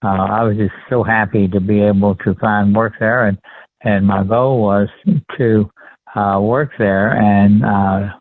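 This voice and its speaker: Through a thin, phone-like line comes an elderly man from rural Texas with a Texan accent. His voice is on the low side. He recounts memories politely and deliberately, with halting pauses, as the guest in an interview. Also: steady tone, no room sound. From rural Texas, USA. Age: 70s. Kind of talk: conversational personal recollection. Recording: tinny phone-line sound.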